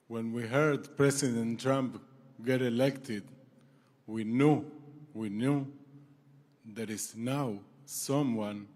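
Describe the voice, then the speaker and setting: A man from Israel and Argentina, giving a halting, emotional speech as a former hostage, repeating and apologizing for his English. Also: medium pitch, darkish tone. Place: large banquet hall. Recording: long hall reverb.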